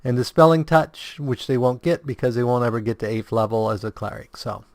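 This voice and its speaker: A man's voice: mid-range voice, steady tone, no room sound. A man talking through a video game guide with a sore, strained throat. His voice sits mid-range, recorded dry and close at a home desk. He explains step by step, stumbling over words and fixing them as he goes. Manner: halting, self-correcting explainer. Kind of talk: casual game walkthrough.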